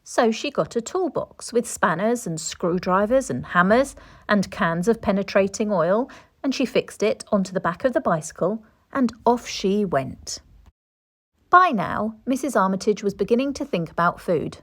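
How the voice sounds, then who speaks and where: A woman reading a children's story aloud in an expressive storytelling style. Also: mid-range voice, normal speed. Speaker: storybook reader.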